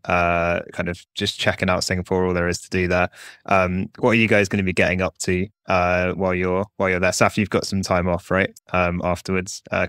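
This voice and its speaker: A male reporter hosting a podcast, talking quickly and casually with lots of fillers. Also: low-pitched, no echo, studio quality. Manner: quick and relaxed, many fillers like "um" and "like". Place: home office near London, dry sound.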